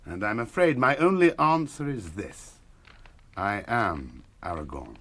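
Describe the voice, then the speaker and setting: A weathered wanderer of noble bearing in a radio drama. His voice is fairly low and slow, with expressive swings, wry yet quietly commanding. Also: a little hiss or hum. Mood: calm, resolute. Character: weathered, wry ranger of hidden noble birth. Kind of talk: classic radio drama acting.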